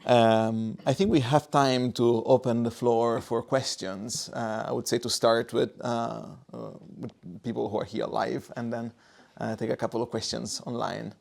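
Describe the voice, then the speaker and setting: Man, gravelly voice, hesitant, chairing questions at a lecture. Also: medium pitch, little pitch movement, measured pace, a little mellow. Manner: hesitant, many fillers. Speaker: event chair. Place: lecture room.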